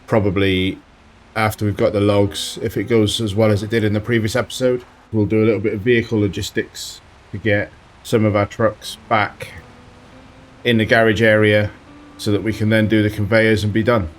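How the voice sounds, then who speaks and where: A man with a slight sore throat giving relaxed commentary while playing a driving game, thinking aloud as he goes. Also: on the low side, moderate pace, a little hiss or hum. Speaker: let's play host. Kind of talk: casual gameplay commentary.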